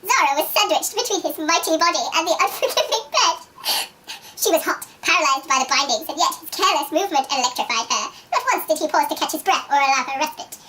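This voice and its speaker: A woman's voice: high-pitched, lively intonation, fast talker, pushed and bright, pitched above the performer's own voice. A woman voicing an over-dramatic, giggly narrator reading a steamy romance novel in a theatrical manner. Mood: amused melodrama. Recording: distant mic with faint noise.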